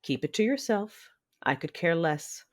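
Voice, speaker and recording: A woman's voice: lowish voice, expressive, measured pace. A woman playing a fierce, conflicted Sith woman who narrates a sci-fi audio drama with controlled, brooding drama. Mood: cold anger.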